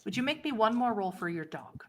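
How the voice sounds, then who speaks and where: A woman working as game master, narrating a tabletop horror roleplay game in a measured way and directing the players. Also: medium pitch, expressive. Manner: measured, directing players through scenes and rolls.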